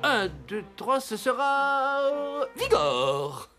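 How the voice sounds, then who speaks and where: A gluttonous old Santa Claus for a children's cartoon. He is theatrical and hesitant, with big swings in pitch and a slow pace. Music plays clearly behind him. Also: sing-song, bright, forceful tone, full character voice. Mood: hesitant, sheepish. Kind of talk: French animated cartoon dub.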